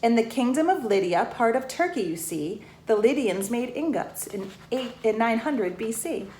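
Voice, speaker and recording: A woman reading a rhyming children's storybook aloud in an expressive way, pausing to put questions to the young listeners. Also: a little high. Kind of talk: children's storybook read-aloud, rhymed verse with asides to listeners. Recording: roomy echo, faint background noise.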